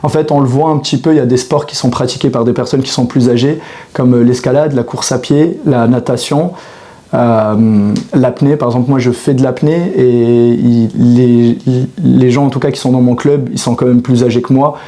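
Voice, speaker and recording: Mellow-voiced man in his thirties. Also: medium pitch, steady tone, moderate pace. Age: 30s. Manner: hesitant, many restarts and fillers, reflective. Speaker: fitness coach. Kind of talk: casual monologue to camera.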